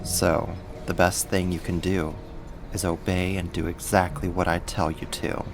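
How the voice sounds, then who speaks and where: A man's voice: low voice, little pitch movement, creaky, over music. A man playing a sadistic, mocking villain and kidnapper in an ASMR roleplay, with a controlling, condescending manner. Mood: taunting, controlling. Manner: slow, taunting, controlling, condescending.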